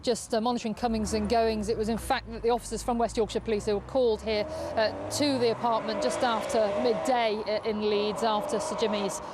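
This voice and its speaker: A woman news correspondent giving a measured live report outdoors, pausing now and then as she improvises. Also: a little high, steady tone. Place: outdoors on a residential street. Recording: outdoor street ambience with traffic noise.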